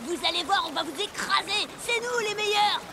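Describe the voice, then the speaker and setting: A woman's voice: extremely high pitch, expressive, brisk, background noise. A woman voicing a bossy, brash and scornful child in a children's cartoon, scolding and boastful. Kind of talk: French children's cartoon dubbing. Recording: loud music behind the voice.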